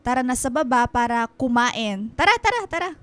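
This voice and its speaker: A woman playing a warm, chatty middle-aged godmother and proud mother in a radio drama, effusive and fussing. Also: a little high, animated, fairly quick, clean tone, lightly acted. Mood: welcoming, fussing. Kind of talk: Filipino radio drama acting.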